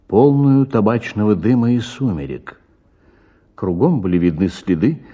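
A man's voice: sits low, mellow, highs cut off. A man narrating a literary short story in a dramatic audiobook style.

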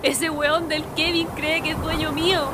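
A woman's voice: high voice, little pitch movement, moderate pace, natural voice. A woman with a Chilean accent playing a distressed, slangy young shantytown woman in an amateur audio drama. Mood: upset. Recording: loud music and crowd behind.

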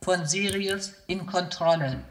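Slow, echoing computer voice. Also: mid-range voice, fairly bright tone. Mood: impassive, formal. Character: emotionless computer announcing spacecraft status reports. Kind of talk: science fiction radio drama acting. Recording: echoing, roomy announcement sound.

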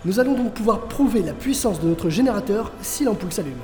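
A man's voice: sits high, animated, quick pace, darkish tone, faint music behind. A man playing a proud, scheming physicist in a comedic audio drama, with theatrical fervour. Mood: grand presenting. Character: Louis, proud, scheming French male physicist.

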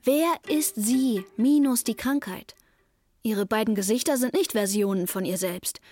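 High-voiced, wry teenage girl. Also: a little slow, mellow, no room sound. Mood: wry, sardonic. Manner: dry, sardonic asides. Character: sharp-witted teenage daughter of a manic mother, narrating. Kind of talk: radio play first-person narration. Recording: music behind the voice.

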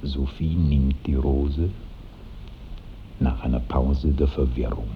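A man narrating stage directions for a radio play in a measured, literary way. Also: a bass voice, steady tone. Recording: muffled 1960s radio with slight noise.

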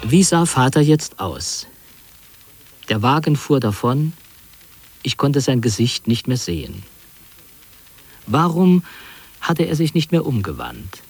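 Radio play memoirist: reflective, measured delivery, mid-range voice with a slightly husky grain. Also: male voice, mid-range voice, big pitch swings, full character voice. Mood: sober recollection. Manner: measured, reflective, carefully phrased. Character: reflective man recalling his wartime flight as a youth. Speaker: autobiographical narrator. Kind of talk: literary radio play narration.

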